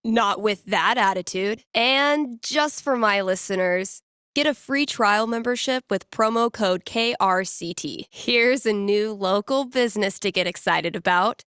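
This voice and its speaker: An actress playing a sardonic young woman who works as a radio DJ in a video game, with casual patter, deadpan asides and playful mock grandeur. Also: fairly high pitch, no echo, clean studio sound. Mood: enthusiastic, wry. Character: wry young woman radio DJ and record store clerk.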